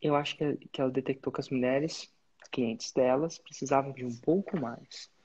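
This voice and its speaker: A husky-voiced man leads a coaching call in a direct, instructive manner, breaking his advice into clear points. His voice sits at a middle pitch, and the recording sounds dull and a bit lo-fi. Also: fairly even intonation, normal speed, darkish tone. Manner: direct, instructive, uses sports-belt metaphors. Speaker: business mentor and host. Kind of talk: live coaching session.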